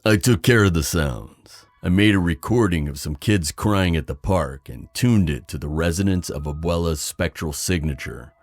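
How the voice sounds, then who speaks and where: A man playing an easygoing, deadpan ghost hunter in an audio drama. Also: sits low, normal speed, no echo. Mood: easygoing, dry.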